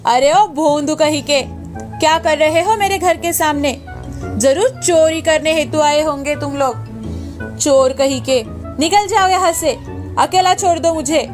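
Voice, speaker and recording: A cranky old village woman, acted by a woman for a children's cartoon, with a very high voice. She is suspicious and bossy, scolding and challenging whoever she addresses, over light background music. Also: unhurried. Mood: angry scolding. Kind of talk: children's cartoon story acting.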